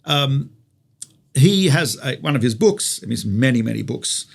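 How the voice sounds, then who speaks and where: A man's voice: mid-range voice, animated, measured pace, vocal fry. A man with an Australian accent, a rabbi teaching conversationally through stories and rhetorical questions. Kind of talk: conversational religious teaching with storytelling.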